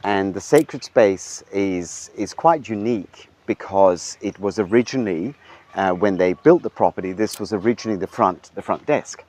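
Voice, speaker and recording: Very deep-voiced middle-aged man. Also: moderate pace, a little mellow. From Denmark. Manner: thoughtful, with frequent ums and restarts. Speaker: hotel general manager. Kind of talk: relaxed interview. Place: hotel grounds.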